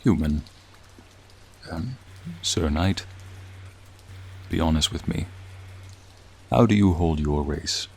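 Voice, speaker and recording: Man voicing a slow, deep-voiced gentle dragon. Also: animated, heavily acted. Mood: earnest, musing. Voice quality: low, creaky, soothing. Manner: slow, formal, tender, reflective storytelling. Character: gentle, wistful male dragon, old-souled and courtly. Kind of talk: soothing ASMR roleplay narration. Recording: faint rain noise behind. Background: rain ambience.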